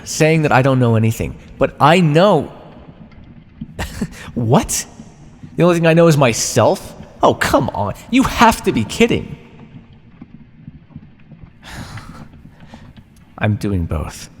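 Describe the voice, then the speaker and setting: A man playing a condescending, conflicted vampire captor and scientist in a theatrical ASMR roleplay, teasing the listener with sighs and drawn-out words. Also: mid-range voice, vocal fry, in a large hall, slight background noise. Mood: defensive, insistent.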